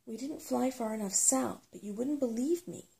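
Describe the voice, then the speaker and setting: Woman reading as a bossy, creaky-voiced little brownie. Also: fairly high pitch, mellow, slight background noise, pitched above the performer's own voice. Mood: grumbling, brisk. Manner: brisk, sceptical, blunt. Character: bossy, impatient female brownie with paws and claws. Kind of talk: storybook character reading.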